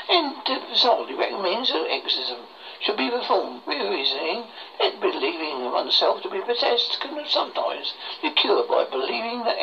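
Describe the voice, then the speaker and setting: A horror host reading a scripted story aloud in a halting way, stumbling over words, on a muffled lo-fi recording. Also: mid-range voice. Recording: muffled lo-fi recording with faint noise.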